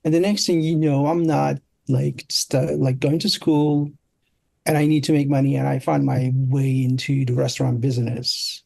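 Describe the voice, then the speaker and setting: Middle aged turkish guy w accent, super gravelly, slow halting casual chat, dry sound. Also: medium pitch, flat delivery, darkish tone, no room sound. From Turkey. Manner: halting, searching for words. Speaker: interview guest, product designer. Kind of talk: casual conversational storytelling.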